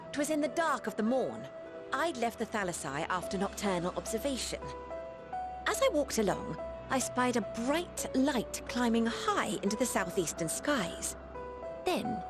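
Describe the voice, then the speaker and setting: An eager, bubbly young researcher. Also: female voice, sits high. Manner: eager, breathless and animated, archaic phrasing. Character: eager young woman researcher, excitable and polite. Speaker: game cutscene character. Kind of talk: voiced game cutscene dialogue. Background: orchestral game score.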